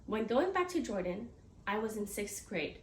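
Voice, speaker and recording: A woman's voice: mid-range voice, big pitch swings, normal speed. A teenage girl from the United States giving a prepared class speech, earnest, with small slips and restarts. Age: teens. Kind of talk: prepared speech read aloud. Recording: echoey room, mic far off, faint noise.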